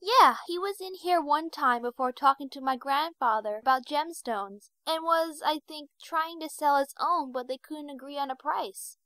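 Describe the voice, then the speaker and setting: Woman as a high, bright, polite shopkeeper. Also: normal speed, hardly any pauses, dry recording. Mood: helpful, recalling. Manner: polite, earnest. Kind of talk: audio drama acting.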